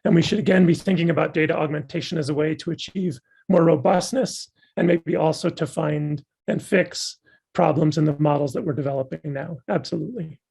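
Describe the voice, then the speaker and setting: Hoarse man answering questions. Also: fairly high pitch, steady tone, brisk, a little mellow. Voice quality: gravelly and husky. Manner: thoughtful, enthusiastic academic explaining. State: losing his voice. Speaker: guest speaker. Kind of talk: answering audience questions after a talk.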